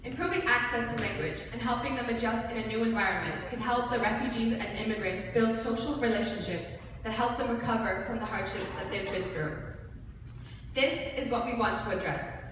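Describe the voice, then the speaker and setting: A young woman delivers a formal, rehearsed presentation in a slightly high voice. The sound is distant and very echoey, with clear noise from the large hall. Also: brisk. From Iceland, originally Kurdistan. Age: young adult. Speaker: student presenter. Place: large hall with seated audience. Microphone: far audience camera.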